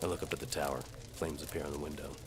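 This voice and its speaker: A man playing a sardonic, world-weary medieval bow for hire, narrating in a wry deadpan as scripted audio drama. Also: rumbling low, rapid-fire, background noise. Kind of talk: first-person scripted narration, hard-boiled audio drama.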